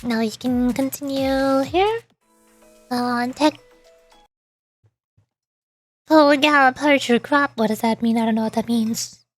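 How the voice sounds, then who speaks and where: Female streamer, high voice, playful casual chat, reads game text aloud, light music bed. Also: moderate pace. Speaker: VTuber streamer.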